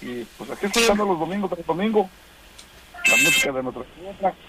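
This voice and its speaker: A man's voice: high voice, expressive, fairly quick, very noisy. A man from Oaxaca, Mexico, calling in to a radio show to send long greetings, rambling with many hesitant fillers. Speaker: radio caller. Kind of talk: casual phone-in greetings.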